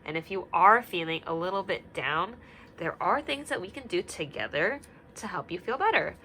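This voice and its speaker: A woman teacher talking gently to young children, singing little hand-washing songs and reading a picture book aloud with many questions. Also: on the low side, animated, moderate pace, slight background noise. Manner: gentle, sing-song, asks many questions.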